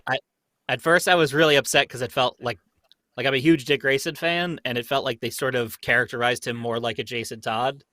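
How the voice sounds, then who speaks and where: A man's voice: medium pitch, steady tone, a little fast, pushed and bright, dry recording, studio quality. A young adult man talking casually and enthusiastically as a fan in a group chat.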